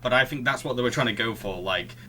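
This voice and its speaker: British man, podcast host, casual chat, crisp bright voice, faint background noise. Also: medium pitch, fast. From United Kingdom. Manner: chatty, laughs often.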